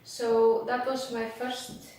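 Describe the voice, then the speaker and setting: Woman in her forties, from Iceland, English, hesitant pauses, roomy echo. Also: fairly high pitch, monotone, a little mellow, a little hiss or hum. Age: 40s. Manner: unhurried, many filler pauses, non-native phrasing. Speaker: interview guest, former board member. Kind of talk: casual interview answers. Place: small office with posters and plants. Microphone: camera mic from across the room. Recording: echoey room from a distant mic.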